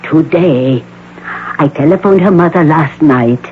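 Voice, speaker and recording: An actor playing a courteous, formal male doctor with measured, precise diction in a 1980s radio drama. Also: on the high side, natural voice. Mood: pleased, courteous. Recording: tinny, noisy old radio broadcast.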